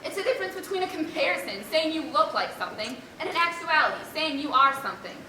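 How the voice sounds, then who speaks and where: Condescending, pedantic young American woman played by a teenage girl, stage comedy; high voice, distant noisy auditorium recording. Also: sing-song, fast, bright, forceful tone, exaggerated voice. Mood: scornful. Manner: precise, sarcastic, condescending. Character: snobbish exasperated young woman theatergoer, pedantic about words. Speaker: high school actor. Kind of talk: live amateur stage acting. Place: theater stage, indoors. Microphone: far camera in the audience. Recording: echoey auditorium from far off, noisy audience.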